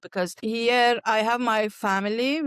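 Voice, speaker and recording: A woman's voice: fairly high pitch, fairly even intonation, measured pace, a bit bright, smooth, dry recording, clean studio sound. A woman from Iran with an Iranian accent, a native Farsi speaker, answering interview questions conversationally in hesitant, non-native English. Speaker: guest, language teacher and archaeologist.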